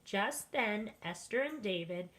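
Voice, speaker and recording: A woman reads a children's bedtime story aloud. Her pitch is middling and her pace is leisurely. She is deliberate and expressive, as a storyteller.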